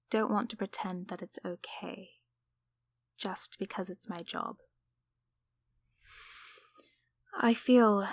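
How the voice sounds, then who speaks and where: A woman playing a gentle, apologetic sleep paralysis demon in an ASMR roleplay, soft-spoken and often whispering reassurance. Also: mid-range voice, vocal fry, dry recording. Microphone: close microphone.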